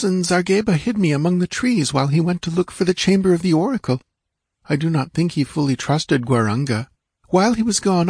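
A man's voice: fairly high pitch, darkish tone, slightly rough, no room sound, pitched above the performer's own voice. A terrified young dancing girl pleads in a thin, tearful voice, words quivering on the edge of sobs, as a man reads her part. The sound is close and dry, with no room around it.

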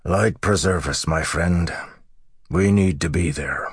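A man's voice: low voice, slow pace, slightly rough, dry recording, studio quality, exaggerated voice. A man voicing an earnest, plain-spoken farmer who urges a friend with solemn foreboding, in an audiobook. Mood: solemn pleading. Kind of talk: audiobook character dialogue.

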